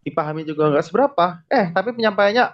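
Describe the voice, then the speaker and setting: A young man talks as a podcast guest, laying out his points in relaxed everyday slang with frequent chuckles. His voice sits a little high. The recording is dull and lo-fi. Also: quick pace. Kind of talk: casual podcast chat.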